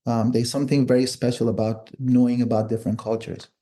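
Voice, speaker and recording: A man's voice: mid-range voice, little pitch movement, fast talker, warm tone. A middle-aged man speaking reflectively in an interview, thoughtfully repeating and rephrasing as he goes. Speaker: podcast guest, author and psychiatrist. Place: remote online call.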